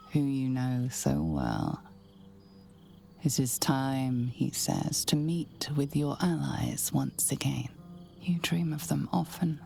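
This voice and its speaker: Low, slow, creaky fantasy narrator. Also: female voice, exaggerated voice. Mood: hushed, solemn. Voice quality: breathy, hushed, often near a whisper. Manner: measured, deliberate storytelling. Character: female storyteller narrating a dark fantasy adventure. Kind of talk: scripted second-person fantasy game narration.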